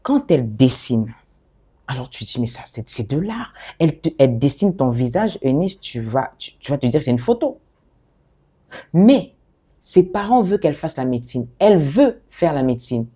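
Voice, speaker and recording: A middle-aged woman from the DR Congo, an interview guest who talks about her work in an animated, expressive way and often restarts her phrases. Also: very deep voice, normal speed, husky. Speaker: guest, fashion designer and author. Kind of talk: conversational interview.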